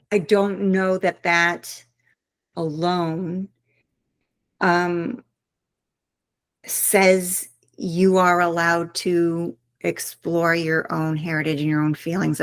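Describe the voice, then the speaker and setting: A woman's voice: lowish voice, fairly bright tone, no room sound. A woman author being interviewed, speaking in a reflective, earnest way as she thinks aloud. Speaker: author guest. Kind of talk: interview conversation.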